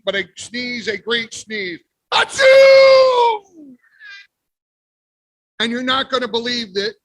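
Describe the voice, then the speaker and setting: A man reading a picture book aloud as the wolf, playful with big pauses. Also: high voice, a bit bright, no echo, poor audio. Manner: playful, exaggerated sneezes and storyteller pauses. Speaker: guest reader. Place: library event.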